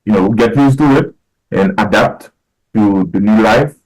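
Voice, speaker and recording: Deep-voiced middle-aged podcast guest from Haiti; measured, thoughtful, pauses often. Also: male voice, a little mellow, low-quality recording. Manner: measured, many fillers and restarts. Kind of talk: casual interview talk.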